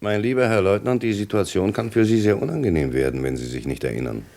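A man's voice: on the low side, expressive, quick pace, darkish tone. A man playing a persistent, probing police commissioner in a radio crime drama, questioning formally and insistently. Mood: pressing. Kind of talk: German radio play dialogue acting.

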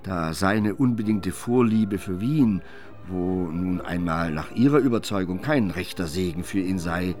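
Low-voiced male literary narrator. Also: a little slow, a little mellow, soft background music. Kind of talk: scripted literary narration for radio play.

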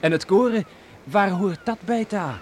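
An actor playing a respectful, quietly teasing young farmer and betrothed son in a radio drama. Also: male voice, high-pitched, lively intonation, a little slow. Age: young adult. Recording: noisy old radio play recording.

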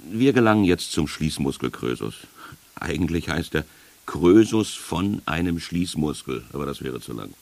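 A man playing a sardonic, world-weary narrator in a literary radio play, speaking deliberately and with dry irony. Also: extremely low pitch, very animated, creaky, darkish tone, heavily acted. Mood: reassuring, instructing. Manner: deliberate, poetic, dryly ironic. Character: sardonic, world-weary first-person wanderer and guide.

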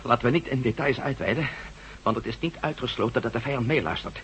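A man's voice: medium pitch, quick pace, fairly bright tone, slightly rough. A man acting an urgent, commanding adventurer leading a resistance team in a Dutch radio drama. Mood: firm, serious. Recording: very noisy radio drama recording.

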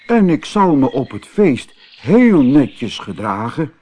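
A man voicing a greedy, boastful, bossy male tortoise in a storybook, with emphatic, drawn-out pleading. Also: mid-range voice, big pitch swings, mellow, slight background noise. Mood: boastful, wheedling. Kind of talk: storybook character voice.

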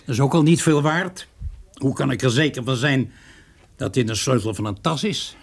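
Gravelly sceptical police inspector. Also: male voice, mid-range voice, normal speed. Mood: sceptical, stern. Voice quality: gravelly, rough. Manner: clipped, probing, sardonic. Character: sceptical male police inspector, coolly interrogating. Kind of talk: radio drama dialogue.

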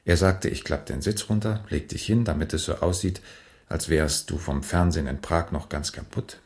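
An actor in a radio crime drama playing a matter-of-fact, methodical police investigator with a dry, colloquial way of reporting. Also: male voice, low voice, moderate pace.

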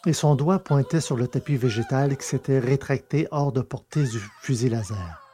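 A man reading a science fiction story aloud in a steady, measured narration. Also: mid-range voice. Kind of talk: scripted audiobook narration.

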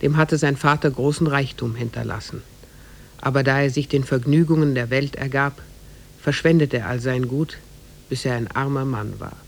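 A man playing a measured storyteller, narrating an old oriental fairy tale in a 1950s radio drama. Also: mid-range voice, vocal fry, lightly acted. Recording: faintly noisy 1950s radio recording.

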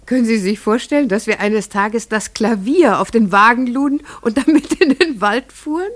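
An actress playing an entranced farmer's wife and mother, telling her strange memories in a measured, lyrical radio play monologue. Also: fairly high pitch, animated, dry recording. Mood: fervent, entranced.

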